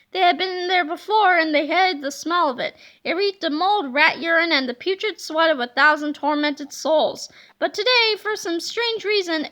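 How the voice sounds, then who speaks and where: Lady reading a kids book out loud, high bright voice, very expressive. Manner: lively, expressive storytelling. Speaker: read-aloud narrator. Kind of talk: reading a children's book aloud.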